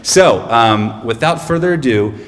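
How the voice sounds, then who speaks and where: A man's voice: medium pitch, lively intonation, a little slow. A man hosting a live story slam, upbeat and hyping the crowd. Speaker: emcee. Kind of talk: event hosting. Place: stage in a hall at a live event. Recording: live hall with long reverb and crowd.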